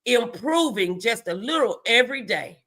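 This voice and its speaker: A woman's voice: fairly high pitch, lively intonation, normal speed, a bit bright. A middle-aged American woman hosting a motivational faith talk, exhorting listeners in an emphatic, preacher-like way. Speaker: host of a morning motivational show.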